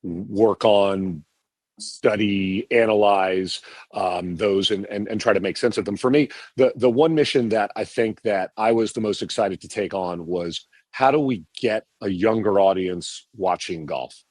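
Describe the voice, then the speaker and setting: A middle-aged man with a low voice explains the work behind a live TV show. He talks in loose, rambling runs, doubling back on his words. The sound is dry and close. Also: a little slow, a little mellow, no room sound. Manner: rambling with many restarts and repeated words. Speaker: TV producer guest. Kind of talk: podcast interview answers.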